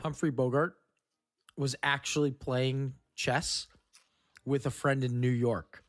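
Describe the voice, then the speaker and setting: A man's voice: mid-range voice, no room sound, clean studio sound. A man hosting a casual film podcast, chatting in a jokey, easily amused and affirming way. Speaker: podcast host.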